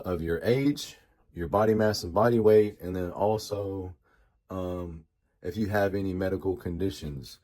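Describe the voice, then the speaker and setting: A man's voice: sits low, dark tone. A man in his late thirties giving a casual, rambling product review as a vlogger, often repeating and rephrasing himself. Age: late 30s. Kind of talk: casual talk to camera.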